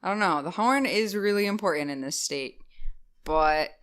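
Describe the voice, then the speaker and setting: A woman co-hosting a relaxed podcast chat, talking in a slightly low voice. She fills her sentences with "like" and jumps into loud, animated bursts. The talk is loose and unscripted. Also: moderate pace, clean tone. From Rhode Island. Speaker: podcast co-host. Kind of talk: casual chat.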